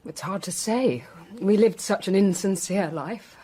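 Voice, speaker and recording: A woman's voice: medium pitch, unhurried, warm tone, breathy edge. An actress playing a weary, wounded society woman in a radio drama, subdued and wistful. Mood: wistful, hurt. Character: Mrs Rice, weary, wounded society woman betrayed by her friend.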